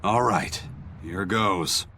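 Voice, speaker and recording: A man's voice: low voice, very slow, noisy background. A man playing a stoic, blunt and determined pirate in a video game. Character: stoic, gruff seasoned male pirate, stubbornly determined.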